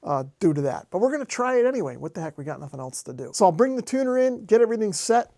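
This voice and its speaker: An American man with a high voice talks through a practical demonstration in a casual way. He is recorded dry, with hardly any room around him, and explains things like a hobbyist host. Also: very animated, fast talker, dark tone, dry recording. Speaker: host, amateur radio hobbyist. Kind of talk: casual hands-on demonstration narration.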